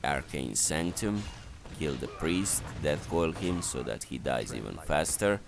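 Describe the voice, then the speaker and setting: A man with a very deep, creaky voice narrates a game walkthrough. He speaks slowly and haltingly, laying out instructions one step at a time. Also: lively intonation. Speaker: video game walkthrough commentator. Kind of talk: instructional strategy game commentary. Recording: clearly noisy voice recording.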